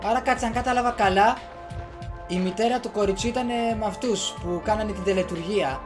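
A young man talks casually through a video game as he plays. He records at a desk microphone in a small room, with prominent game music behind his voice. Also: sits high. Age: young adult. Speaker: gaming video host. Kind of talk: casual let's play commentary. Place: small bedroom. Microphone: desk USB microphone, headset worn.

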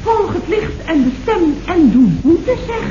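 A shrill, inquisitive radio comedy character, very high and excitable. The old recording is muffled and noisy. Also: male voice, unhurried, reverberant, full character voice. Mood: excited. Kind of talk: 1970s radio comedy acting.

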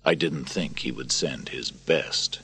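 A man voicing a bitter, weary enslaved gladiator who speaks low, slow and grim, with a husky voice. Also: bright, forceful tone, faint music behind, full character voice.

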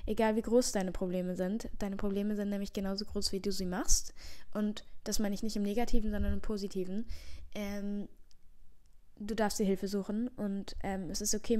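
A woman's voice: medium pitch, warm tone. A young woman in her twenties, a vlogger with a slight cold, talking earnestly into a handheld mic at home, rambling and reassuring with many fillers. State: has a cold. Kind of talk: casual heartfelt advice monologue. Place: living room at home, seated in armchair. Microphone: handheld microphone.

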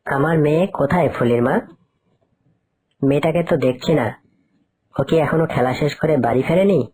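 A man voicing a poor, resigned working father in an animal cartoon, with a husky voice and weary manner. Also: fairly high pitch, brisk, darkish tone. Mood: concerned. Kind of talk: cartoon character acting.

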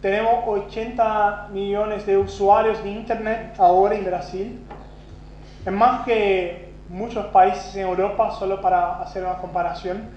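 A Brazilian man whose first language is Portuguese, giving a conference talk with a Brazilian accent, self-deprecating and halting, with many restarts. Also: sits high, level tone, darkish tone. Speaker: conference speaker. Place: conference hall. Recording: roomy, dull lo-fi sound with faint hum.

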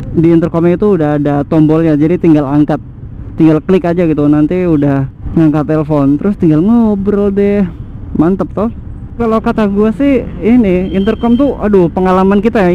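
A man, chatting casually and repeating himself for emphasis as he vlogs from a motorbike. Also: sits high. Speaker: motovlogger host. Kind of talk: casual chat while riding. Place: outdoors, riding a motorbike on city roads. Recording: muffled helmet mic, engine and traffic noise.

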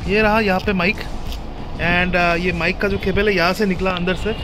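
Higher-pitched young Indian man, casual demo. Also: fairly even intonation, moderate pace. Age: young adult. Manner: informal, mixes Hindi and English. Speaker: motovlogger and gear shop owner. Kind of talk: casual hands-on product demo vlog. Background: music.

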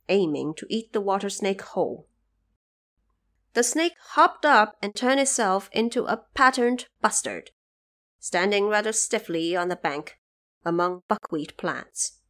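A woman narrator telling a scripted story for an audio drama in an expressive, measured way. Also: medium pitch.

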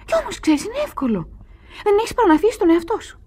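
A woman's voice: very high voice, sing-song, a little mellow. An actress playing an impulsive, naive, lovestruck girl of twenty in a Greek radio theatre production. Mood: tender, joyful.

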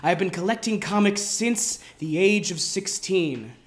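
A man performing a melodramatic reading as a self-important, deluded amateur screenwriter, with pompous, overwrought delivery and dramatic pauses. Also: sits high, expressive. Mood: wistful, reverent. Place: indoors in a wood-panelled room.

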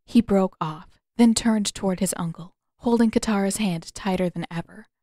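A woman reading scripted fiction aloud as a narrator, with expressive, dramatic delivery. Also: medium pitch, normal speed, no echo, clean studio sound. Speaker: audiobook narrator of fan fiction.

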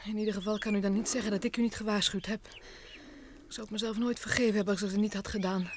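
A woman's voice: mid-range voice, steady tone, quick pace, a little mellow, natural voice. An actress playing an anxious, evasive young nightclub woman in an old radio play, pleading and hesitant. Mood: worried, pleading. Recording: noisy vintage radio recording.

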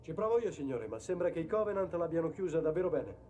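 A man's voice: fairly high pitch, very animated, quick pace, dark tone, distant mic, dull audio, slight background noise. A man playing an obedient, hesitant, uneasy young soldier in a dubbed video game.

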